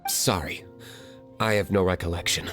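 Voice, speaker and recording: An actor voicing a cold, dismissive gentleman in an anime game, formal and detached. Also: low voice, moderate pace, faint music behind. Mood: aloof.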